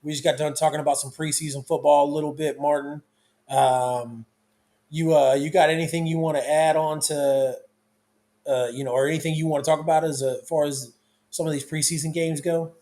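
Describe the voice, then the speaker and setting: A hoarse young man hosting a casual football talk show, talking loosely with lots of restarts. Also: a little high, fairly even intonation, brisk, warm tone. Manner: loose, conversational, with many restarts. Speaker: sports talk show host.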